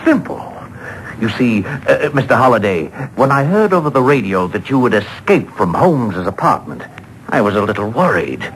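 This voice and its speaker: A man playing a smug, animated radio-drama killer. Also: medium pitch, close to an everyday voice, rough recording. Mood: smug, gloating. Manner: deliberate, taunting. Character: smug, menacing armed male killer, coolly gloating. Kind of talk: 1940s radio drama acting. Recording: home-taped old radio broadcast, faint noise.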